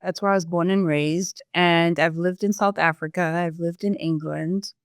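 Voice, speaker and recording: Measured low-voiced woman from Zimbabwe. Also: steady tone, no room sound, clean studio sound. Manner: thoughtful, measured, with fillers. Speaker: sales employee, guest. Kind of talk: casual interview answers.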